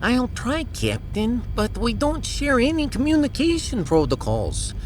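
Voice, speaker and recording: A woman's voice: on the high side, sing-song, unhurried, darkish tone, a little hiss or hum. A woman playing a pragmatic, sardonic starship science officer in a sci-fi audio drama, with a wry, analytical manner. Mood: thoughtful.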